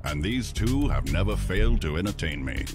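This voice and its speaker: An actor playing a godlike, sinister narrator in a game, measured, grandiose and self-satisfied. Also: male voice, sits low, big pitch swings, over music. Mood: amused, sinister.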